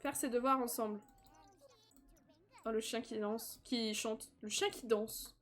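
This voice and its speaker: A young woman giving casual live-stream commentary while playing a life-simulation game, chatty, laughing often and breaking into excited squeals. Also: a little high, measured pace.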